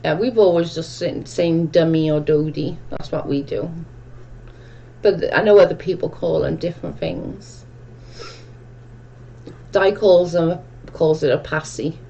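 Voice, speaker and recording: A woman's voice: low-pitched, unhurried, creaky, pauses often, dark tone, dull audio. A woman with a strong Welsh accent hosting a casual livestream chat, reading viewer comments aloud and answering them in a chatty, rambling way. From Wales. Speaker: livestream host.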